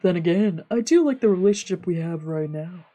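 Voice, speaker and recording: A woman voicing a fussy, excitable, sweet-toothed young man in a visual novel, with expressive, scolding and gushing delivery. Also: fairly low pitch, a little fast. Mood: concerned. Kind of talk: live dubbing of a visual novel.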